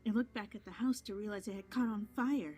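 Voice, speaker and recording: Somber pony girl , voiced by a woman for a fan cartoon; quiet, plain delivery, light music bed. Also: mid-range voice, fairly quick, slightly rough, lightly acted. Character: young female pony duelist, sad and withdrawn. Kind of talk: fan animated series voice acting.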